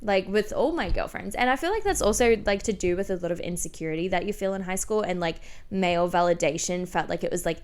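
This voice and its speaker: Clear-voiced young woman, candid and chatty. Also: fairly low pitch, quick pace, hardly any pauses. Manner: animated, slangy, self-mocking, acts out quoted remarks. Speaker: podcast host. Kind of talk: casual confessional storytelling.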